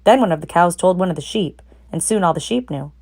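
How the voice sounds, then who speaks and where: A woman with a fairly low-pitched voice reads a children's story aloud. She narrates expressively, as a storyteller. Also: expressive, fast talker, a little mellow. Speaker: narrator, read-aloud reader.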